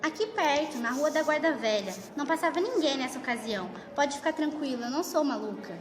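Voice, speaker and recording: A woman's voice: high-pitched, expressive, brisk, crowd noise. A woman acting an anxious, pleading wife in a secret affair, in an amateur radio drama. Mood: pleading, earnest. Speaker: student actor in a school radio play. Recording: noisy, echoey hall recording.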